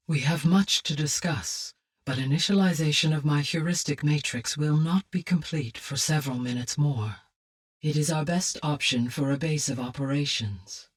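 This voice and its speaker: Calm motherly game ai, low soft slightly husky voice, formal and precise, sounds a bit distant. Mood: calm, helpful. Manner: measured, precise, formal diction. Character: female artificial intelligence, calm, courteous, motherly machine mind. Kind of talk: scripted video game voice acting.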